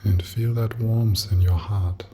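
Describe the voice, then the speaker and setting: A man leading a guided meditation as a teacher, speaking gently and softly in an unhurried manner with long pauses. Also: lowish voice, fairly even intonation, a little hiss or hum.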